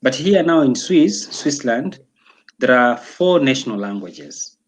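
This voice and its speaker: Man, young adult, from Tanzania, casual interview talk, hesitant, many fillers, medium pitch. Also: expressive, measured pace. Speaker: interview guest.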